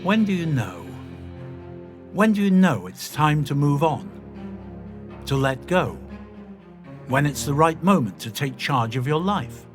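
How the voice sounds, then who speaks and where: A man's voice: a little high, sing-song, measured pace, long pauses, music in the background. A man playing an earnest space traveller, narrating his own adventure in a dramatic first-person audio drama. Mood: brooding.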